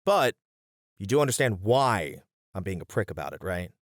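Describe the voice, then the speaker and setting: A man's voice: on the low side, very animated, moderate pace, dry recording, studio quality. A man acting an uptight, caring band manager, earnest and mildly exasperated, in an ASMR roleplay. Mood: exasperated, stern.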